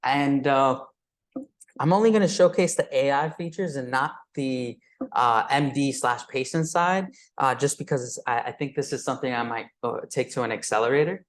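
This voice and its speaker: Halting man demoing software at a meetup. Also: medium pitch. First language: Urdu. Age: 20s. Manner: halting, many fillers and restarts, frequent "right?". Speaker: teacher presenting at a meetup. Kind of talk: live demo talk.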